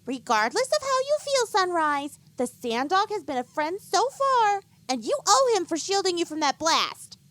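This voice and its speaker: A woman voicing a small, earnest pony companion who gently admonishes, in an audio drama. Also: extremely high pitch, very animated, moderate pace, few pauses, no room sound, heavily acted. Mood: earnest, chiding.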